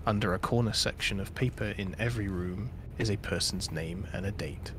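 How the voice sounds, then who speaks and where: A tired man with a croaky voice, slowly reading a short story aloud on a stream. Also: deep voice, music in the background. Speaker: stream co-host.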